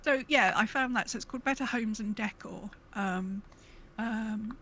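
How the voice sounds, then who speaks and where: A woman's voice: on the high side, measured pace, a little hiss or hum. A woman co-hosting a gaming podcast in casual chat, rambling and breaking into her own sentences with quoted asides. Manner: rambling, self-interrupting, animated retelling with quoted asides. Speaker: podcast co-host.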